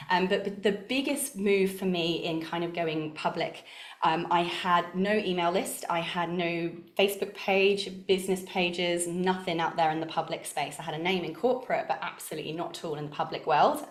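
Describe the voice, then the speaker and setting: Brisk low-voiced woman presenting. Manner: brisk, enthusiastic, talks in long flowing runs. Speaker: guest speaker. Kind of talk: online masterclass presentation and Q&A. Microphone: video call. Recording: echoey room on a video call.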